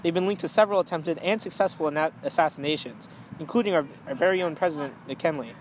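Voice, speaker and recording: An alarmist 1920s author acted by a man gives firm opinions in a radio interview. His voice sits a little high, with some noise in the amateur recording. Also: fast, lightly acted, poor audio. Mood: earnest. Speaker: talk show guest, author.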